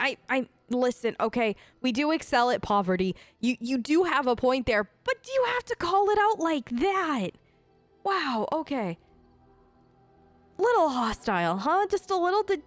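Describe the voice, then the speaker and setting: A woman's voice: high-pitched, big pitch swings, normal speed, no echo. A woman improvising in-character replies for a role-play let's play, chatty, casual and a little hesitant. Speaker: gaming host, role-playing as player character. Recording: game music under voice. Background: game music.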